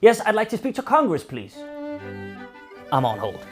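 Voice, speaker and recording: Sarcastic Danish-accented man, lively delivery. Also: high voice, moderate pace, faint music behind. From Denmark. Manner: dry, sarcastic, mock-polite. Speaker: satirical presenter. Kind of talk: scripted comedic address to camera.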